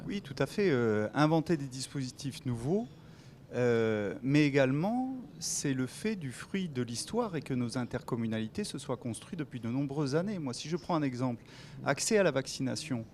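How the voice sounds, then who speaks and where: A man who is an elected official, giving explanatory interview answers in a halting way, with tag words and repeats. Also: mid-range voice, expressive, a little mellow, a little hiss or hum. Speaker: elected official, president of a local authority. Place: TV set at a convention.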